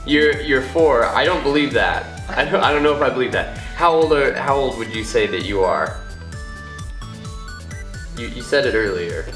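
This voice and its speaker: A man's voice: mid-range voice, lively intonation, moderate pace, fairly bright tone. A man from Iowa with an American accent, chatting casually as a vlog host in a playful, teasing manner. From Iowa, United States. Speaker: vlogger and host. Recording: roomy echo, music behind.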